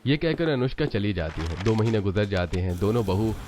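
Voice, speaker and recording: A man's voice: fairly low pitch, brisk, background noise. A man narrating a scripted cartoon family story.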